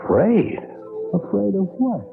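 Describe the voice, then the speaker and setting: An American actor playing a devoted new husband in a 1970s radio drama, tender, coaxing and emphatic. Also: male voice, high-pitched, very animated, measured pace, gravelly, exaggerated voice. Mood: tender, reassuring. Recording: dull old radio recording, music behind.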